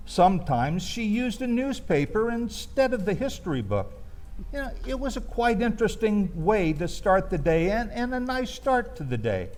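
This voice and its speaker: A man telling a first-person comic reminiscence as a storyteller, in a wistful, hesitant manner with pauses. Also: sits high, big pitch swings, a little mellow, soft background music.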